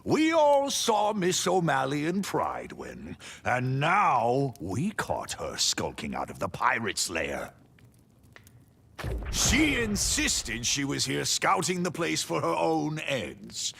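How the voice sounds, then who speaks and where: A boisterous, suspicious game character with a gravelly, creaking voice. He speaks slowly and theatrically, his pitch leaping about in extravagant swoops. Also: wildly swooping pitch, fairly bright tone, full character voice. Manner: effusive, exclamatory, theatrical. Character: boisterous, theatrical, suspicious male ally of the player. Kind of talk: video game character dialogue.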